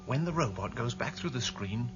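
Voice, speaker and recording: A man's voice: medium pitch, expressive, normal speed, close to an everyday voice. An actor playing a practical man who teases his older friend during a break-in. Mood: tense. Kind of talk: radio drama acting. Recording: dull lo-fi radio drama with music.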